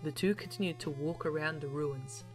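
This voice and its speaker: Female narrator for audio series, low voice, formal storytelling read, light music underneath. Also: moderate pace, low-quality recording. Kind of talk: scripted narration.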